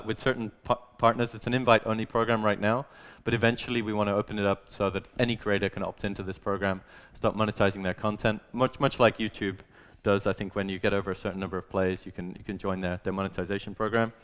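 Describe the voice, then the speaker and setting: A man's voice: on the low side, fairly bright tone, smooth. A young man giving a casual presentation talk as a conference speaker, conversational and full of fillers and restarts.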